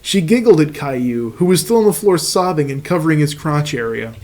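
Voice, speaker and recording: A man's voice: a little high, lively intonation, brisk, darkish tone. A man reading fan fiction aloud as host, in a comic narration with profane, mocking casual asides.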